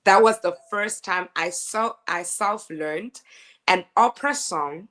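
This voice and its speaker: Lady, deep speaking voice, chatty and laughy, lots of restarts, kinda low. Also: takes it slowly. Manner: animated, laughing storyteller with frequent restarts. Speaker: opera singer guest. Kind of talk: casual interview chat.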